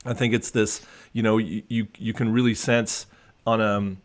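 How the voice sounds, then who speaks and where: A man's voice: on the low side, level tone, moderate pace. A man working as a coach, talking in a casual conversational discussion, reflective and full of restarts and fillers. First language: English.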